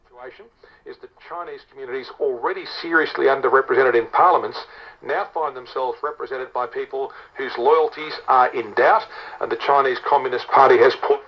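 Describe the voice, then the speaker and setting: A man's voice: medium pitch, a little fast, a bit bright, rough recording. A man in a radio broadcast talk, played through an old radio speaker.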